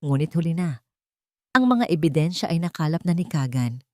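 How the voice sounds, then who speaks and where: A woman's voice: low voice, expressive, moderate pace, warm tone, dry recording, clean studio sound. A woman narrator reading a scripted romance drama in a storytelling style.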